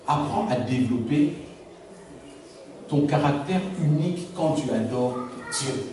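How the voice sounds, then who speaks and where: A man's voice: a little high, a little slow, dark tone. Preaching man in an echoey church hall. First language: Lingala. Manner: preaching with rhetorical questions to the congregation. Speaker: pastor. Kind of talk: sermon. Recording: very echoey church hall, distant mic, faint noise.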